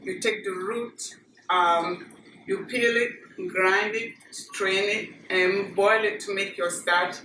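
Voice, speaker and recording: A middle-aged woman from Ghana with a Ghanaian accent, recalling her childhood in a measured, plain way with frequent fillers. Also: mid-range voice, steady tone, bright, forceful tone. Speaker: interviewee. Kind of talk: oral history interview. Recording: echoey room, distant mic, noisy.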